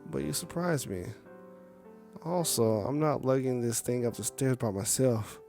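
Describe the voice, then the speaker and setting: A sad clown puppet from a visual novel game, voiced by a man. He is a defensive, insecure male character with a mid-pitched voice, heard over faint music. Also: animated, a little slow, vocal fry, mellow. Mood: awkward, apologetic.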